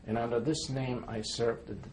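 A man's voice: mid-range voice, level tone, normal speed, a little mellow, a little hiss or hum, poor audio. A man in his seventies, born in Poland, giving a careful oral history testimony and spelling names letter by letter. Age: 70s. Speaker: interviewee.

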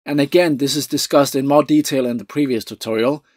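A man with a Danish accent giving a calm, methodical coding lesson over a screen recording. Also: mid-range voice, fairly quick, dry recording, studio quality. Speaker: tutorial presenter.

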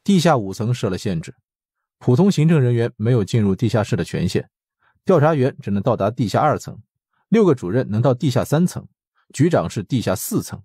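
A man voicing a brusque, world-weary department director in an audiobook, husky and curt, with a dismissive grumble. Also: medium pitch, big pitch swings, a little fast, warm tone, no echo, studio quality, voice pushed up. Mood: matter-of-fact.

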